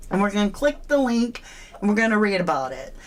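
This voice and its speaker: A chatty woman hosts a true crime live stream in a casual, folksy way, throwing in asides and calling out viewers by name. Her voice sits at an everyday middle pitch for a woman and comes through a home desk microphone. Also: very animated, fairly quick. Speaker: true crime livestream host.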